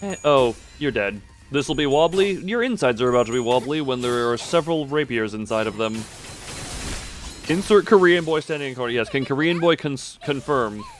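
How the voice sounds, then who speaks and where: A man live-streams a game in a medium-pitched voice. He chats casually and playfully, rambling and muttering asides to himself. Game music plays behind his commentary. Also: animated, normal speed. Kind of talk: casual gameplay commentary to chat.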